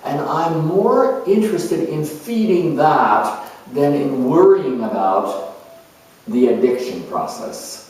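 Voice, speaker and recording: Slow, echoey man acting an earnest nurturing counselor. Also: on the high side, lively intonation, darkish tone. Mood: earnest, reflective. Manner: halting, reflective, deliberate phrasing with pauses. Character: earnest, nurturing parent turned spiritual counselor and meditator. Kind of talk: improvised dramatic monologue. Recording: very echoey room, distant microphone.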